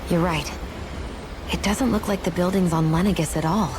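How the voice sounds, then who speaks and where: A woman's voice: on the low side, normal speed, background noise, close to an everyday voice. An actress playing a reserved, composed young woman warrior in a video game, understated, with music behind. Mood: observant. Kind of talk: English video game cutscene acting.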